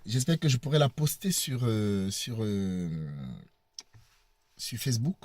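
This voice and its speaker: A man with a cold talking casually to his viewers from a parked car, halting and repeating words, often laughing. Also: medium pitch, expressive, darkish tone, no room sound. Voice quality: husky. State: hoarse with a cold. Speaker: video blogger.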